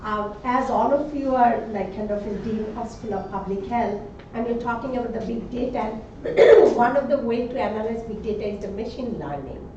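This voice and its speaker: A woman in the audience with a loud voice, asking the panel a question politely and deliberately. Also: on the high side, background noise. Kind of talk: conference question from the floor. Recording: echoey, dull hall sound from far off.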